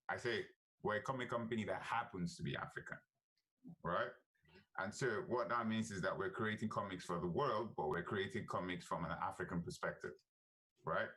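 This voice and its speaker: A man speaking on a virtual panel. His voice is on the low side, and he drives his points home emphatically, often tagging them with "right?". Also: a bit bright, poor audio. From Nigeria. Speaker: panelist, comic company head.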